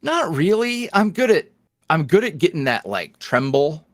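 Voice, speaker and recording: A man talking casually as a podcast guest, in a rambling, animated, self-deprecating way. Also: on the high side, normal speed, no echo, clean studio sound. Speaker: podcast guest, ASMR roleplay voice creator. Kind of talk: casual interview chat.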